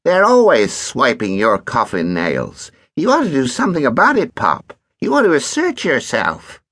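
Man voicing a smug chubby boy, high and husky, needling, storybook reading. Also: huge pitch swings, moderate pace, fairly bright tone, no echo. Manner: complacent, needling.

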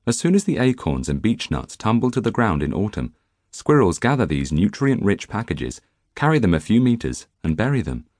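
A man narrating a nonfiction audiobook in an expressive storytelling manner, close to the microphone in a studio. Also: on the low side, moderate pace, dry recording. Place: dry recording studio.

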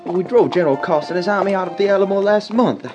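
An actor playing an earnest, respectful soldier with firm opinions in a radio drama. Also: male voice, high-pitched, fast talker, lo-fi sound, over music, natural voice. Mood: earnest, concerned. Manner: respectful, eager.

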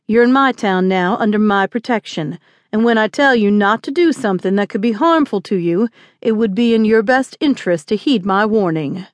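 A woman's voice: mid-range voice, animated, moderate pace, natural voice. A stern frontier marshal as voiced by a woman narrator in an audiobook western. He gives orders firmly and with irritation, used to being obeyed. The sound is dry, without room echo. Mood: firm, controlled. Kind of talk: acted audiobook dialogue.

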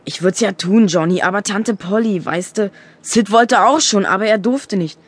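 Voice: medium pitch, moderate pace, close to an everyday voice. A performer playing a cheeky, crafty young boy with a nonchalant, sly manner in a German children's audio drama. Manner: nonchalant, sly, feigning innocence.

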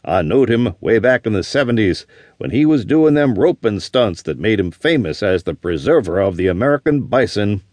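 A man's voice: fairly low pitch, expressive, normal speed, a little mellow, no room sound. A man voicing a scarred old male plains veteran who talks in a blunt, drawling Western way. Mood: gruff warning.

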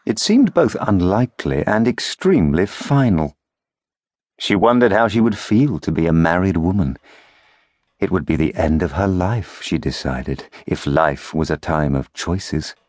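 A man, reading a fairy tale as audiobook narrator in expressive storytelling style. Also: deep voice, a little slow, no echo, studio quality.

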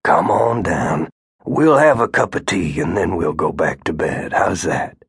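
A man reading an audiobook, voicing a gentle, easygoing older grandfather who soothes a child. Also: medium pitch, animated, slow pace, creaky, husky, no room sound, clean studio sound, full character voice. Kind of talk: audiobook character voice.

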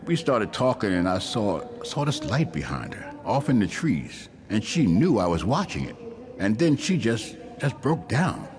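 An actor playing a laid-back bar owner in a radio drama, talking in a casual, understated, slightly hesitant way about a worry for a friend. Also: male voice, fairly low pitch, big pitch swings, a little slow, a crowd in the background. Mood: concerned, earnest. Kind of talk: modern radio drama acting. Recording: loud background music and ambient noise. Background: music and ambient noise.

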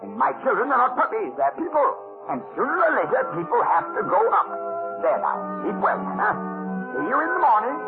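An actor playing a pompous, sputtering middle-aged father in an old-time radio play, excitable and melodramatic. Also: very high voice, brisk, vocal fry, full character voice. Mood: exasperated, softening. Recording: tinny old radio recording, very loud music.

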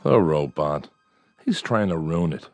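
A man's voice: a bass voice, lively intonation, unhurried, a little mellow, soft background music, exaggerated voice. An actor playing a mild, boyish toy designer in his thirties, hesitant yet stubbornly enthusiastic, in a radio drama. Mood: subdued. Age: 30s.

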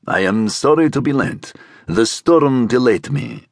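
A man voicing a strong older man who speaks deliberately and persuasively, with a guttural Egyptian accent, in a narrated story. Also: mid-range voice, lively intonation, vocal fry, dry recording, studio quality. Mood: measured. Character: Nahor, strong, commanding older man with magnetic menace.

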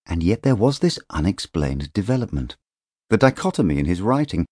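A man narrating literary fiction as an audiobook, in a measured, expressive storytelling manner on a close studio microphone. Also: low-pitched, no echo.

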